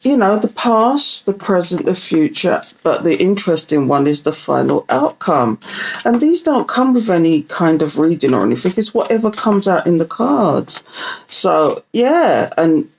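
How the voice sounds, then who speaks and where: British woman, croaky morning voice, lively casual tarot vlogger, low pitch. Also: a little slow, slight background noise. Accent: British, London Jamaican slang. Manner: chatty, playful, talks to viewers directly. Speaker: tarot reader. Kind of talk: casual oracle card reading to camera.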